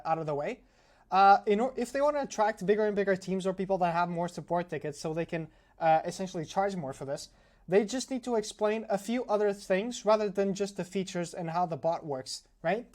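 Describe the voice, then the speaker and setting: Male reviewer, dark mellow tone, informal screen-share commentary, conversational and critical. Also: high-pitched. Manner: conversational, critical, often tags points with "right?". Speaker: landing page consultant and reviewer.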